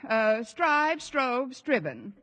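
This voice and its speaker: A woman playing a wisecracking high school English teacher in a 1950s radio sitcom, delivering dry, sardonic quips. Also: sing-song, very slow, fairly bright tone, heavily acted. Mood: wry. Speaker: narrator of her own story.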